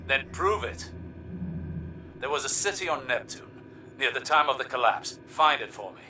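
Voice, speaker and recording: An actor playing a brash, demanding veteran warrior-scholar in a video game, with an imperious and dramatic delivery. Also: male voice, mid-range voice, lively intonation, moderate pace, far from the mic, natural voice. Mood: stern, demanding. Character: brash, impatient, demanding male warlock veteran. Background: music.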